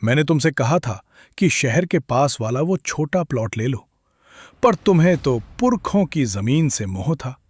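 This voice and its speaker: A man voicing a sour, blaming village man in a cartoon story, sarcastic and nagging in a husky voice. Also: medium pitch, sing-song, a little slow, a little mellow, dry recording. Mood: foreboding, nagging. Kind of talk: animated moral story dialogue.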